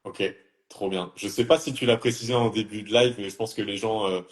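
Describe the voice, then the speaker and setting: A man hosting a live video talk, speaking in a relaxed, friendly way with many fillers. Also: on the low side, a little fast. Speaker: host. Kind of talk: casual live interview. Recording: echoey room.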